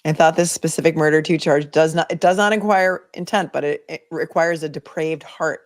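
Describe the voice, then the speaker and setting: A woman's voice: low voice, fairly even intonation, a little fast. A woman with a Boston accent hosting a legal livestream, chatting casually and thinking aloud as she answers viewer comments. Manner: conversational, opinionated, thinking aloud.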